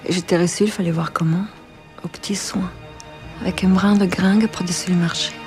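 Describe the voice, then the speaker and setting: Film heroine voiced by an actress, quick and knowing, with a film score behind her. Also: lowish voice, steady tone, natural voice. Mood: confiding. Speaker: film character. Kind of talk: film dialogue. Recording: film clip with music. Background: music.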